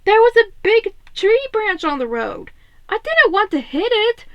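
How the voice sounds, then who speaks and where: A woman's voice: extremely high pitch, big pitch swings, a little slow, dry recording, highs cut off, pitched above the performer's own voice, exaggerated voice. A woman voicing a caring, fatherly male mutant turtle big brother with a concerned, reassuring manner, in a fan fiction reading. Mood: defensive.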